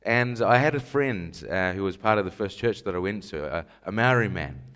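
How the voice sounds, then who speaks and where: A man's voice: deep voice, animated, moderate pace, a bit bright. A man from Scotland, preaching a sermon in an emphatic style with rhetorical questions. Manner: emphatic rhetorical questions, rising to stressed lists.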